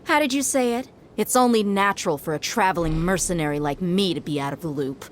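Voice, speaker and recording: An actress playing a shrewd, confident desert mercenary in a video game cutscene, with a wry, matter-of-fact delivery. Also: female voice, on the low side, a little fast, slight background noise, close to an everyday voice. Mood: mocking. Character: shrewd, self-assured adult female desert mercenary. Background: background game music.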